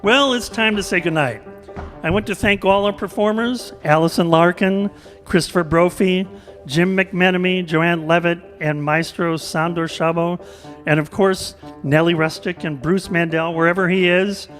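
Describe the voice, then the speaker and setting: A man's voice: high-pitched, steady tone, normal speed, a bit bright, faint music behind. A man hosting a radio theater show, giving casual, jokey thanks to performers and audience.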